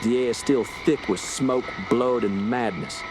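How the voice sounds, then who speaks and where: An actor playing a grim, commanding police officer in a radio horror drama, with a dramatic, authoritative delivery. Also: male voice, mid-range voice, steady tone, unhurried, noisy background, natural voice. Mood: grave horror. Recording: loud dramatic music and effects behind.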